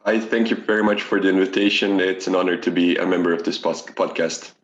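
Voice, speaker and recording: A man in his twenties from Serbia introducing himself as an interview guest, speaking haltingly. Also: deep voice, fairly even intonation, slight background noise. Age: 20s. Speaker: guest youth ambassador and student. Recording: dull, lo-fi connection.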